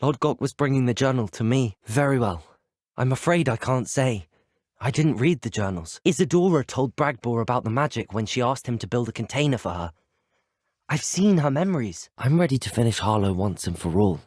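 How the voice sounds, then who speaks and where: Casting: male actor as earnest young student wizard for a fantasy game. Husky, animated intonation, formal and well-articulated. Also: mid-range voice, a little fast, no room sound, clean studio sound, lightly acted. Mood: reserved. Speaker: video game player character voice actor. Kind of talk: scripted video game dialogue.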